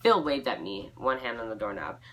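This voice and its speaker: Low-voiced young woman reading aloud, bright tone. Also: lively intonation, brisk, rough recording. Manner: giggly, self-mocking. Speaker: vlogger reading own fan fiction aloud. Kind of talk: informal read-aloud with casual asides.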